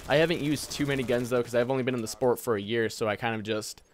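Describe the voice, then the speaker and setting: A young man around twenty with an American accent talks casually, as a gaming YouTuber would. His voice sits at an average male pitch. He is informal and noncommittal, letting thoughts trail off, over light noise and gunfire sounds. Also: fast. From United States. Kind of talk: casual Q&A commentary, reading out viewer questions.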